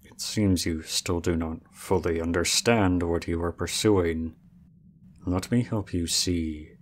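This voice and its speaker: A man's voice: deep voice, steady tone, slow pace, noisy background. A man playing a proud, aloof male dragon in human form, speaking formally and with cool reserve in an ASMR roleplay.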